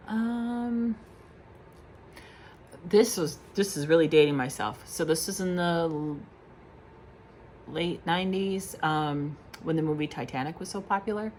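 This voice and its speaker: Low-voiced chatty woman vlogging at home. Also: slight background noise. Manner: chatty, frequent ums and little laughs. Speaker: beauty vlogger. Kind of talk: casual chat answering questions. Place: at home in her condo.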